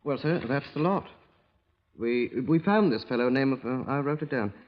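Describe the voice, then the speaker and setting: A man's voice: medium pitch, animated, normal speed, lightly acted. Deferential British police sergeant played by a man, muffled. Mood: eager, fumbling. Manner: respectful, slightly bumbling. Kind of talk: 1950s radio drama acting. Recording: dull, lo-fi old radio recording.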